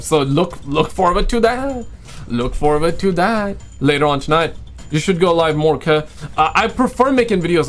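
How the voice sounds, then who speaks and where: A man's voice: fairly high pitch, fairly bright tone. A man hosting a casual livestream, rambling in slang and repeating his phrases, with a voice that is starting to give out. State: losing his voice. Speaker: livestream host and video creator. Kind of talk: casual livestream chat with viewers.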